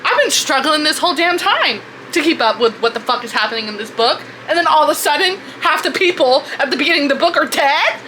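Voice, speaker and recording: A woman book vlogger chatting casually in an expressive, informal way with plenty of swearing. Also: high-pitched, quick pace, few pauses, slight background noise, poor audio. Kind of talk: casual reaction chat to camera.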